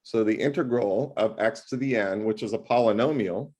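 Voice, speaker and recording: A man with a fairly low voice tutors math one-on-one over a video call. He talks loudly and with plenty of animation, and he keeps slipping jokes into the lesson. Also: moderate pace. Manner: loud, animated and jokey.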